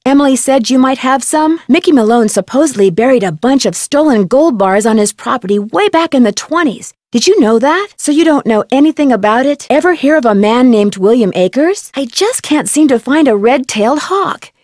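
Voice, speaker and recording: A woman playing a curious, polite young amateur detective in an adventure game, speaking in an earnest, clearly enunciated, inquisitive way. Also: sits high, expressive, moderate pace, hardly any pauses, darkish tone, dry recording, studio quality. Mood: earnest, inquiring. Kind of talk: scripted adventure game dialogue.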